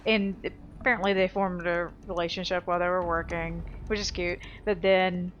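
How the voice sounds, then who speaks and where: A woman's voice: fairly low pitch, fairly even intonation, moderate pace, highs cut off, soft background music. A woman giving casual, informal let's play commentary, thinking aloud as she plays. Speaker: let's player.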